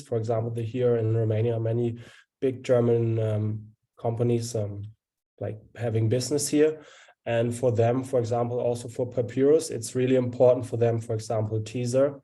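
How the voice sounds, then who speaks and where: A man, a student presenter, answering a seminar question on a video call, halting and full of fillers. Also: medium pitch, dark tone.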